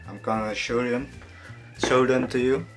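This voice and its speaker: A young man answering viewer questions in a casual fitness vlog, relaxed and hesitant, with many fillers and some casual swearing. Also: medium pitch, a little slow, soft background music. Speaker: fitness vlogger.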